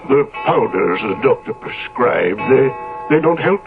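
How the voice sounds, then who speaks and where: An actor playing a devoted, anxious manservant in a 1970s radio drama, deferential in manner and hesitant when troubled. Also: male voice, mid-range voice, sing-song, a little slow, over music. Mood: gentle, solicitous. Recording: muffled narrowband old radio recording.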